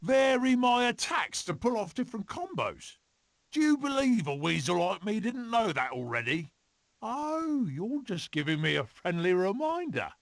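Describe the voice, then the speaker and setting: A man voicing a cocky, eccentric weasel adventurer for an animated game, with a theatrical, jaunty delivery. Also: sits high, normal speed, vocal fry, full character voice. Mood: cocky, wry.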